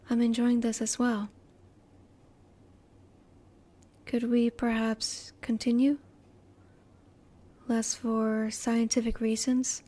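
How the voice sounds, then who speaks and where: A woman voicing a curious, emotionally reserved female alien in an intimate roleplay audio, speaking in a formal, measured, inquisitive way. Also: fairly high pitch, dark tone. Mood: intrigued, restrained.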